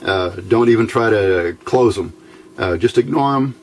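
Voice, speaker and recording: Low-voiced man, noisy recording. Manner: halting, plain-spoken, explaining step by step. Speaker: host of a DIY electronics tutorial channel. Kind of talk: informal unscripted hands-on tutorial talk. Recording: clearly noisy home recording.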